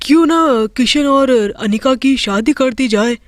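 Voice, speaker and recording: A woman voicing a stingy, scornful middle-aged father in a storybook tale, with a gruff, dismissive manner. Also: high-pitched, a little slow, no room sound, studio quality, voice pushed down. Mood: ingratiating.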